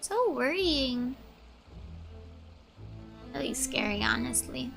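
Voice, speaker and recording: A woman's voice: sits high, animated, slow, gravelly, over music. A woman game streamer giving casual, informal reactions while playing. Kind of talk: casual gameplay reaction commentary.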